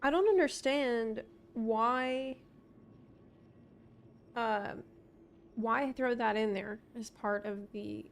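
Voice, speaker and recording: A woman's voice: on the high side, drawn-out words, gravelly, pauses often, slight background noise. A woman game streamer giving live commentary, thinking aloud and reacting to what happens, with game music behind her.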